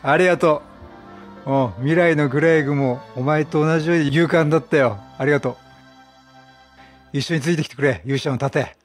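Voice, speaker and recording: A middle-aged man giving chatty, excitable Let's Play commentary, reacting and repeating his phrases. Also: a little high, a little fast. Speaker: game streamer. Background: game music.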